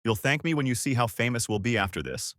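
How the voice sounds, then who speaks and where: A man playing a gloating, animated young prankster. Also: mid-range voice, fast, dry recording, studio quality, natural voice. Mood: gloating, teasing. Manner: excited, boastful, teasing. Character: grinning young male prankster, theatrical, gloating and flippant.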